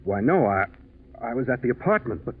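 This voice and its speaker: Man, guilty businessman, nervous, faltering, lively intonation, American, vintage radio acting, muffled phone-like recording. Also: mid-range voice, a little fast, breathy edge. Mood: nervous, defensive. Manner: hesitant, faltering, defensive.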